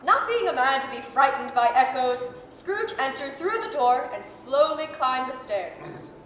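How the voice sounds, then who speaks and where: A woman narrating a radio drama as a measured, articulate, dramatic storyteller. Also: high-pitched, expressive, a bit bright. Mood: storytelling. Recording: echoey hall, faint noise.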